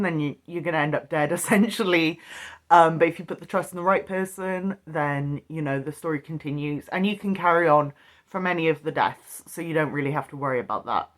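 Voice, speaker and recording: A woman giving a casual, chatty guest review of a game in an informal, giggly manner. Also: on the low side.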